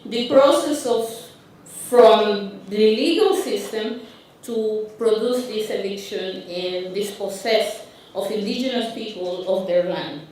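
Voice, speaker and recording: Honduran spanish accent woman giving a slow talk, lots of uh and restarts, very echoey hall. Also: medium pitch, a little mellow, a little hiss or hum. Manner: halting, frequent restarts and fillers. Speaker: conference speaker. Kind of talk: conference talk with slides. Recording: roomy hall, speaker far from mic.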